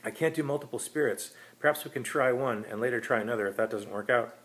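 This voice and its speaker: A theatrical sham séance medium, a woman played by a male reader, speaks solemnly and soothingly. The delivery is hypnotic and lifted in pitch, as in a story read aloud. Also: fast, a bit bright, natural voice. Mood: polite, regretful. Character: sham female spirit medium, solemn and hypnotic, secretly cynical. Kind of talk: short story character reading.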